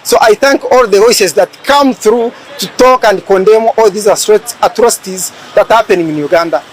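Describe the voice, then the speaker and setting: High-voiced man speaking measuredly. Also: rough recording. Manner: measured, with hesitant fillers. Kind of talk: street statement to camera.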